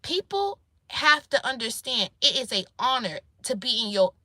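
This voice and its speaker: Squeaky, bright-voiced woman speaking bluntly. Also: sing-song, moderate pace, no room sound, studio quality. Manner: blunt, profane, preachy. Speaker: self-employed vlogger giving life advice. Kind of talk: casual monologue to camera.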